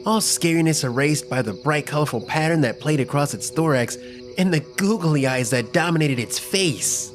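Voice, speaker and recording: A man narrating a scripted first-person children's story in an expressive, dramatic storytelling manner. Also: on the high side, moderate pace, music in the background.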